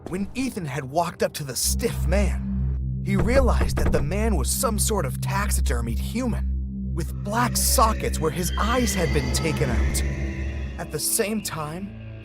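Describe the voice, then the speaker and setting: A young man narrating a scripted first-person horror story, with music behind him. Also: sits high.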